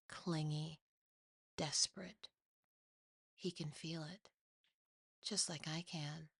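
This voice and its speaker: A woman voicing a sinister, possessive demon in a hushed, hissing, taunting way for a horror ASMR story. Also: low-pitched, creaky, breathy edge, no room sound, studio quality, exaggerated voice. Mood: cruel, delighted. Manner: slow, taunting, seductively cruel.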